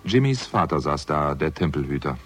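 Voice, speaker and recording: A grave detective narrator in a radio thriller. His extremely low voice tells the story in brooding, atmospheric tones over prominent music. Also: sing-song, normal speed. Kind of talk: scripted radio drama narration.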